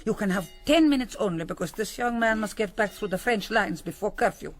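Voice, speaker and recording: A woman playing a brisk, kindly convent Mother Superior in a radio drama, composed and authoritative with a wry touch. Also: medium pitch, soft background music, natural voice. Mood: brisk authority.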